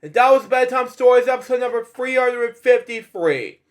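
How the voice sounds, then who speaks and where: A man hosting a read-aloud of children's stories in a casual, halting, rambling way with off-the-cuff asides. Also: extremely high pitch. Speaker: host reading bedtime stories.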